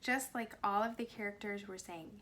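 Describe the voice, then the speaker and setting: A woman reading to young children in an expressive, deliberate way with animated phrasing and dramatic pauses. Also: medium pitch, a little hiss or hum. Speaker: speech pathologist and children's storyteller. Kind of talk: expressive read-aloud storytelling for young children.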